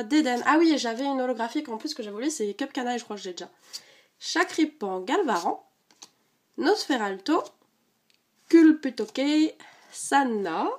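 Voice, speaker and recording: Casual vlogger, woman, slightly high, congested from a cold, easygoing chatter. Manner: chatty, many fillers, rattles off card names. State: stuffy from a cold. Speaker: card collecting vlogger. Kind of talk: casual unboxing chat.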